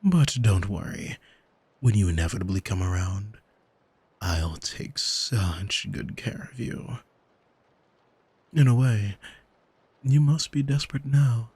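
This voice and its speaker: An arrogant demon, acted by a man, speaks low and very breathy, in an unhurried ASMR roleplay. He is smug and seductive, commanding with a soothing, hypnotic calm. The sound is close and dry. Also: no room sound, full character voice. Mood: possessive, coaxing. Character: arrogant male demon, soul-owning manipulator, calm and seductive.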